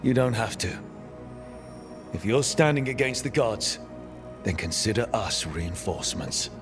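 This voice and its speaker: Casting: a wary leader in a fantasy game, lowish voice, no-nonsense and determined, music playing behind. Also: male voice, animated, unhurried. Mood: wary, resolute. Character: male fantasy hero, resolute, wary leader. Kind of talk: video game voice acting.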